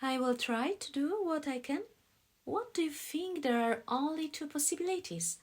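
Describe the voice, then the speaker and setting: A woman's voice: high voice, expressive, moderate pace, voice pushed up. A woman voicing an emphatic, slightly defensive woman answering questions in an audiobook. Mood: questioning, emphatic.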